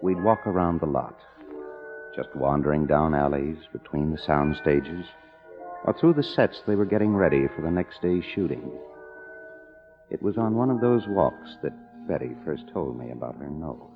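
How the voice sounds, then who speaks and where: An actor playing a wry, cynical young screenwriter in an old noir radio drama, with a dry, casual, hard-boiled delivery. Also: male voice, a bass voice, expressive, normal speed, over music. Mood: wry narration. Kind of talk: mid-century noir radio acting and narration. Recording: dull old radio recording.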